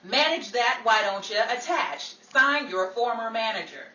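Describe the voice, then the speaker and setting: Female performer as sassy, exasperated 1940s Hollywood starlet for radio comedy; animated delivery, roomy distant recording. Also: a little high, measured pace. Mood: brisk, reassuring. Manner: wisecracking, theatrical, dry comebacks. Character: witty, exasperated 1940s Hollywood actress, dog-sitting. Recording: roomy, distant, noisy, crowd sound behind.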